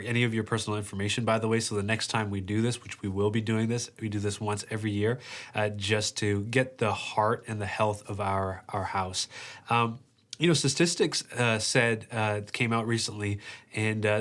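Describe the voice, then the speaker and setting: A man's voice: lowish voice, a bit bright. Guy pastor with a stuffy allergy nose, nasal voice, chatting through church survey stuff in an explainer way. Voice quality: nasal, congested. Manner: conversational, explanatory. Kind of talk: informal church presentation of survey results.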